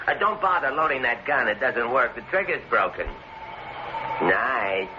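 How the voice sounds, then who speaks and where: An actor playing a sly, taunting, folksy captor in an old-time radio drama, on a noisy old broadcast recording. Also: male voice, medium pitch, sing-song, measured pace, dull audio. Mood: casually taunting.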